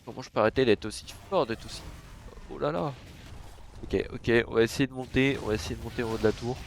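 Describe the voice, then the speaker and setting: A young man with a cold and a stuffed-up nose, casually commentating his game, chatty and cursing, with sudden excited yelps. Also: medium pitch, fast, a little hiss or hum. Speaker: gaming YouTuber.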